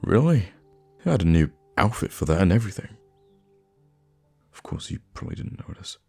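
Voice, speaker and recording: A man playing a theatrical, easily flustered supervillain with a soft spot for his hero nemesis, in an ASMR roleplay monologue with a gravelly voice. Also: low voice, very animated, a little fast, faint music behind, heavily acted. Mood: indignant, distracted. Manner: dramatic, flustered, teasing, stammering when thrown off.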